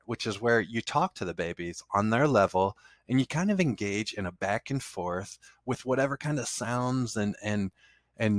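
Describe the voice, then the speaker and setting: A man's voice: on the low side, dry recording. A man teaching a course over slides, talking loosely and conversationally, with fillers and restarts. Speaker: instructor. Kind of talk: casual lecture over slides.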